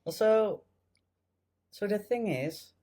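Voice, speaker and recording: A man in his thirties with a Dutch accent, a guest in a casual interview, speaking English as a second language with halting, hesitant phrasing. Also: sits high, expressive, dark tone. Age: 30s. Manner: hesitant, many fillers and restarts.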